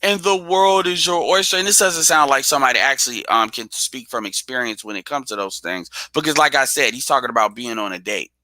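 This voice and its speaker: Blunt, bright-voiced man hosting a stream. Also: medium pitch, brisk, few pauses, dry recording. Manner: blunt, profane, talks straight at listeners. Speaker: host of a live audio stream. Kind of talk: casual live chat.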